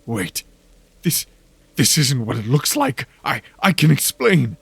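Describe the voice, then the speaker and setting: A man's voice: mid-range voice, sing-song, slow, gravelly, slightly rough, no room sound, pitched above the performer's own voice, full character voice. A man voicing a murderous, tearful police officer in a horror audio drama, pleading and stammering. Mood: desperate pleading.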